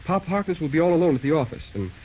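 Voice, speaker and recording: A man's voice: on the high side, very animated, rapid-fire, a little hiss or hum. An actor playing a brash, determined young American railroad man in a breezy, wisecracking old radio drama style. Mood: teasing, cocky. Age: young adult. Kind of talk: 1940s radio acting. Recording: muffled vintage radio recording.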